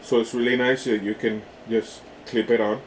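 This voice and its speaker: A man giving a casual hands-on product demo in a halting way, often checking in with the listener. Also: medium pitch, flat delivery. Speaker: product reviewer. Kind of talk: casual hands-on unboxing demonstration. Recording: roomy echo with clear background noise.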